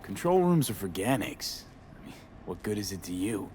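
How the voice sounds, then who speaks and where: Low-voiced, blunt mercenary. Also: male voice, big pitch swings, normal speed, a little mellow, slight background noise. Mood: dry, skeptical. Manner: terse, casual, skeptical. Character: blunt, streetwise male mercenary for hire, laconic. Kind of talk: video game dialogue.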